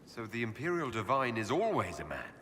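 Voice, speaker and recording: A man playing a curious, polite elf hero in a video game, with a direct, inquisitive and measured manner. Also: medium pitch, big pitch swings, a bit bright. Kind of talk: video game dialogue acting. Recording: reverberant hall, faint background noise.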